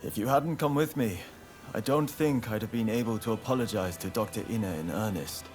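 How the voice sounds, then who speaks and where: An actor playing an honorable young samurai in a video game cutscene, speaking formally and solemnly in measured phrases. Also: male voice, fairly low pitch, a little mellow, close to an everyday voice. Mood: earnest, resolute. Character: earnest, honorable young male samurai of Choshu. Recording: music behind the voice.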